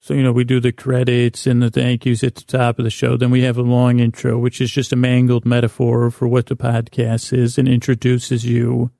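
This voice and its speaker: An American man with a creaky voice hosting a bedtime podcast, rambling in a halting, meandering way with stammered false starts and self-interruptions. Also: medium pitch, completely flat delivery, dark tone, dry recording, clean studio sound. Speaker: podcast host. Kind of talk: rambling bedtime monologue to help listeners sleep.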